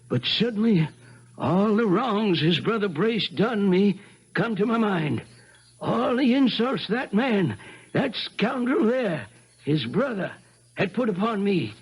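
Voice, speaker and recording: An actor playing a distraught old rural American uncle in a 1970s radio drama, with emphatic, folksy speech. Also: male voice, high voice, slightly rough, full character voice. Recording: vintage 1970s radio broadcast.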